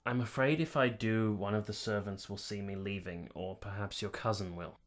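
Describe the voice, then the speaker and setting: Actor as a reserved, polite period gentleman. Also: lowish voice, steady tone, normal speed, lightly acted. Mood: guarded, kind. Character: reserved, dutiful man in 1870s Italy, guardedly kind. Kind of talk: radio drama acting.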